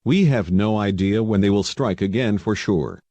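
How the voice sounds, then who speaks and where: A man's voice: lowish voice, moderate pace, mellow, no room sound, lightly acted. A man playing a local TV news anchor, reading a scripted, serious breaking-news bulletin. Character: male local TV news anchor reporting an attack.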